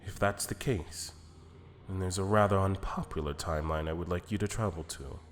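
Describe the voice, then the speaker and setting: A man playing a calm, calculating celestial attendant who speaks in a measured, formal, deliberate way in an anime fan drama. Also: deep voice, big-room sound, natural voice. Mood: plotting.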